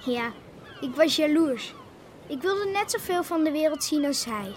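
An eager young woman in a radio play. Her voice is high and swings widely in pitch, expressive and hopeful, over faint background noise. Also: measured pace. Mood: delighted, wistful. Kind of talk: Dutch radio drama acting.

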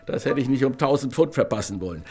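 An actor playing a loud, gruff, middle-aged naval father who curses and blusters heartily in a radio drama. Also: medium pitch, expressive, fast, natural voice. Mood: hearty blustering. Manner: blustering, hearty, swears often. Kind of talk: literary radio drama acting.

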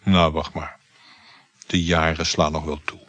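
An actor in a radio play voicing an insecure, jealous married lover, hesitant and questioning, muttering asides. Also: male voice, very deep voice, little pitch movement, measured pace, a bit bright, no room sound, full character voice. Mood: jealous, sceptical. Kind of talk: naturalistic radio play acting.

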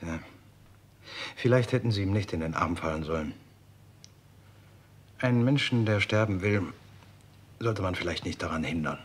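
An actor playing a polite, measured male writer who narrates a 1960s German radio crime drama. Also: sits low, slightly rough. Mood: subdued, doubtful.

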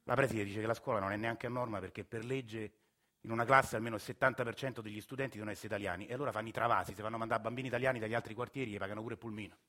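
A man's voice: on the low side, fairly even intonation, rapid-fire, fairly bright tone. An actor playing a weary, earnest working-class Roman family man, confiding his grievances in a colloquial theatrical monologue. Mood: confiding, aggrieved. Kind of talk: theatrical first-person monologue.

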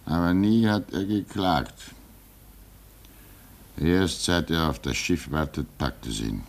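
Wladimir, a gravelly old comrade. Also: male voice, deep voice, slow pace, heavily acted. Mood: calm. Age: older. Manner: calm, soothing, gravelly. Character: old fatherly male expedition comrade. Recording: old radio play with faint hiss.

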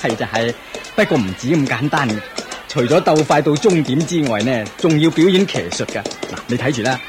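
A man's voice: mid-range voice, animated, fairly quick, close to an everyday voice. An actor playing a proud, jovial king in a radio drama, exclaiming and chuckling expansively over music. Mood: eager, amused. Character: proud, jovial king, excited by his prince's feats. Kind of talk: Cantonese radio drama acting. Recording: music under the voice.

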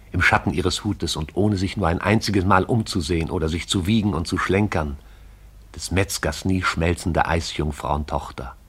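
An actor as the narrator of a poetic radio drama, telling it in a lyrical, wry storytelling manner. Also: male voice, low voice, fairly bright tone, slightly rough.

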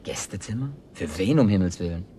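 An actor playing a sceptical ex-athlete and reluctant drifter in a German radio drama, laconic, wry and a little hesitant. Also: male voice, low-pitched, expressive, a little fast, dark tone, a little hiss or hum.

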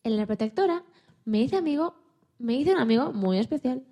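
Woman playing a high-voiced, tender young dog. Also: animated, fairly quick, dark tone. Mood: tender, earnest. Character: young male hunting dog, tender, timid, hopeful dreamer. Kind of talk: children's story radio play, first-person narration.

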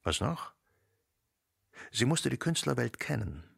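A man narrating a radio drama in a literary, dramatic style, with deliberate phrasing and emphatic pauses. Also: mid-range voice, very animated, creaky, fairly bright tone, husky, dry recording, clean studio sound.

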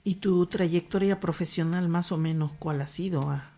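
A woman with a voice a little on the low side hosts a radio show. She puts questions to a guest in an informal interview style. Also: normal speed, warm tone.

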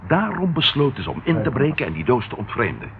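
An actor in a radio drama playing a plain-spoken police inspector, blunt and questioning in manner. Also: male voice, medium pitch, sing-song, fast. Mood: probing. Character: plain-spoken, lively male police inspector, partner detective. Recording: tinny, noisy old radio recording.

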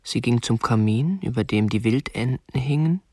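A man's voice: medium pitch, normal speed, warm tone, no echo, studio quality, natural voice. An actor in a radio drama playing a prim, obsessive young man who keeps a woman captive, speaking in a stiff, controlled way. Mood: matter-of-fact, controlled. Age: 20s. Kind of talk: radio drama narration and dialogue.

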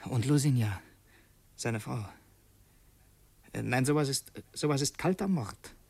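An actor playing a tense young revolutionary in a German radio drama, brooding, halting and fervent, as if confessing a secret plot. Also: male voice, medium pitch, lively intonation, creaky, darkish tone, husky, dry recording, full character voice. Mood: brooding, agitated. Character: tense young revolutionary man secretly plotting against his host.

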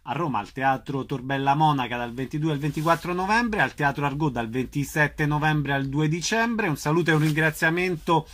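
A man's voice: on the high side, sharp tone. A man presenting theatre listings and interviews as a radio host.

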